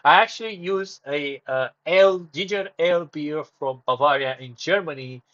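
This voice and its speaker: A man's voice: on the high side, moderate pace, fairly bright tone, lo-fi sound. Rambling man streaming. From Romania. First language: Romanian. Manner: rambling, self-correcting, drifts off topic. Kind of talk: casual solo livestream chat.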